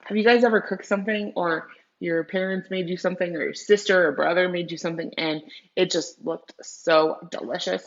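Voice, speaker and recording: A woman's voice: lowish voice, steady tone, brisk, lo-fi sound. Woman teacher reading aloud to children. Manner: explanatory, addressing children directly with frequent questions. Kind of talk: read-aloud lesson with vocabulary explanations and questions to young viewers.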